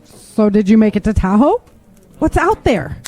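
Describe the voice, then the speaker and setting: A woman's voice: medium pitch, very animated, moderate pace, darkish tone. A woman playing an eager, inquisitive camper in a survival audio drama. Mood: eager, anxious.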